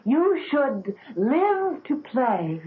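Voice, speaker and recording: A vain, fame-loving young wife in an old radio drama, high and swooping as she gushes and coaxes. It comes through thin and tinny, like an old broadcast. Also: sing-song, extremely slow, full character voice. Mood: indignant. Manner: gushing, coaxing, theatrical. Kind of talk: 1970s radio drama acting.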